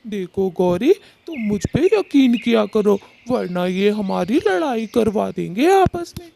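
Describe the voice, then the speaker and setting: Woman doing a mellow, wheedling male bird husband. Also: medium pitch, unhurried, vocal fry, a little hiss or hum. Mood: tearful pleading. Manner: pleading, flattering, self-pitying. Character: wheedling, sly male cartoon bird husband pleading innocence.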